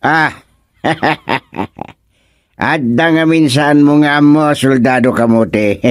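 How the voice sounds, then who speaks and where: A man playing a confident, jolly king in a radio comedy drama, speaking theatrically with drawn-out exclamations. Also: medium pitch, lively intonation, no room sound. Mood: jolly, eager. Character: confident, jolly king going hunting with a medallion.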